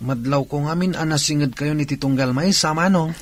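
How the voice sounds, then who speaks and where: A man's voice: on the high side, normal speed, lightly acted. An actor playing a respectful, curious young man, the younger brother, in a radio drama. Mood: curious, teasing.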